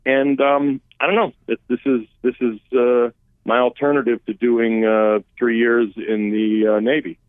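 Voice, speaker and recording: A man's voice: medium pitch, level tone, unhurried, no echo. A man, a policy expert guest, talking through immigration issues in a conversational, emphatic way with frequent restarts. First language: Armenian. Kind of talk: political talk radio interview. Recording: phone-line guest audio, dry.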